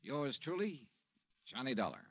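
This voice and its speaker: Casting: man playing world-weary radio detective hero, wry and earnest, lively pitch swings, on a muffled old broadcast recording. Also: normal speed, faint music behind, close to an everyday voice. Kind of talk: 1950s radio detective drama acting. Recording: dull vintage radio recording.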